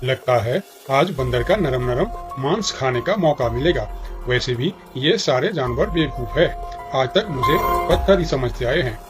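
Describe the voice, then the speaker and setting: A man's voice: mid-range voice, animated, a little slow, close to an everyday voice. A man voicing a scheming, hungry crocodile villain in a children's cartoon, in a dull recording with music. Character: scheming, hungry male crocodile villain, scornful of other animals.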